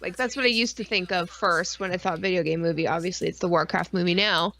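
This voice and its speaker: Sweary, excitable woman chatting casually. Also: lowish voice, fast. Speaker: podcast and stream co-host. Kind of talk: casual, rambling fan chat.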